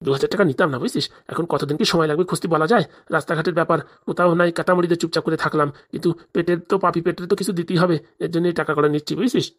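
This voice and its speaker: A woman's voice: fairly low pitch, racing, few pauses, natural voice. A woman playing a scheming, impatient moneylender father in a comic cartoon, blustering and insistent. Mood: determined, blustering.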